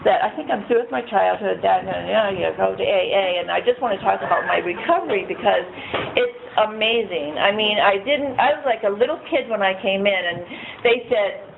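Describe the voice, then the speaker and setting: A shy woman with a soft voice telling her recovery story at a meeting, rambling and laughing often as she acts out what others said. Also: medium pitch, brisk, creaky. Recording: tinny phone-like, faint noise.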